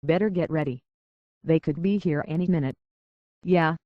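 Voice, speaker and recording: An actor playing a gruff, wary, weary but defiant island local in a video game, blunt and folksy in manner. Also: medium pitch, normal speed, no echo, dull audio, close to an everyday voice. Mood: businesslike, resolute. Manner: blunt, folksy, suspicious of outsiders. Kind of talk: video game character acting.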